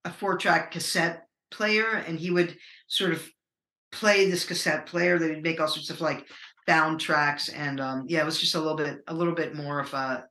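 A middle-aged American woman with a low voice, a musician talking casually in an interview, rambling and hesitant with many fillers. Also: steady tone, normal speed, pushed and bright, reverberant. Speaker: musician guest.

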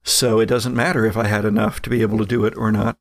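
A man narrating an improvised tabletop game as game master, telling it in a halting, deliberate way. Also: lowish voice, flat delivery, no room sound.

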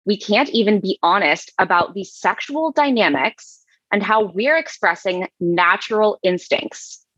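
A woman's voice: medium pitch, measured pace, a bit bright, slightly rough, no room sound. A woman voicing an indignant adult male online troll who lectures in a self-righteous way. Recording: dull lo-fi sound.

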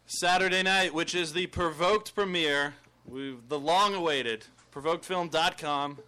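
A man hosting a casual talk show, upbeat and quick to tease his guests and laugh. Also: on the high side, bright, forceful tone. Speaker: host.

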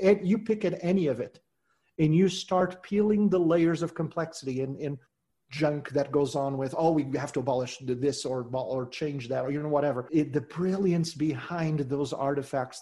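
Earnest man. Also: a little high. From Portugal. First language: Portuguese. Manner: earnest and measured, voice trailing off softly at phrase ends. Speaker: guest. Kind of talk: reflective interview talk.

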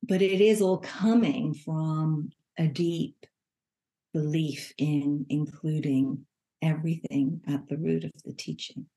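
A woman's voice: low-pitched, steady tone, takes it slowly, warm tone, rough recording. An older woman from Ireland, a spiritual teacher, speaking earnestly in a reflective conversation and often restarting her phrases.

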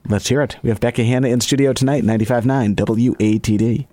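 A man's voice: medium pitch, fairly even intonation, fast. A man hosting a conversational radio interview with a musician. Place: radio studio.

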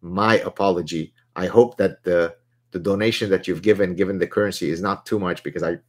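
Deep-voiced guy doing a live q&a, chatty and a bit boastful. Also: fairly even intonation, brisk, husky. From Lebanon. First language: Arabic. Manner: chatty, boastful, reads out chat questions. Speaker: host answering viewer questions. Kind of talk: live Q&A chat.

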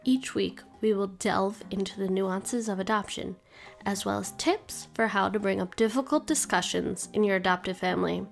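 A woman's voice: medium pitch, moderate pace, vocal fry, faint music behind. A woman hosting a podcast who reads a scripted intro and then asks her guest questions in a friendly way. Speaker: podcast host. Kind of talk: podcast interview.

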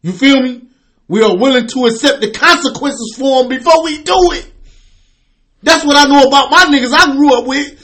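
A man's voice: very high voice, normal speed. A man in his late forties from the US Midwest, co-hosting a podcast and telling blunt, preachy stories with plenty of profanity. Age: 40s. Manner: forceful, preachy storytelling, profane. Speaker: podcast co-host. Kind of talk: casual debate and storytelling.

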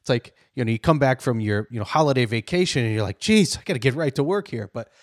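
A man's voice: mid-range voice, lively intonation, fast talker, no echo, clean studio sound. A man hosting an interview podcast, talking in a casual, joking, conversational way. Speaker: podcast host.

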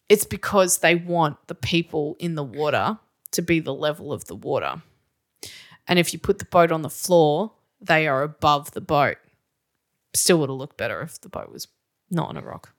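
A woman's voice: low-pitched, animated, measured pace, pauses often. A woman co-hosting a podcast, bluntly picking apart a stage show with exasperated swearing. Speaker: podcast co-host. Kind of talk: casual chat reviewing a musical.

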